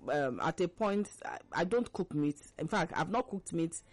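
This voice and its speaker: A woman in her early fifties with a Nigerian accent, hosting a conversational interview in an expressive, playful way and pressing for answers. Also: fairly low pitch, normal speed, dry recording. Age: early 50s. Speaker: interviewer, show host.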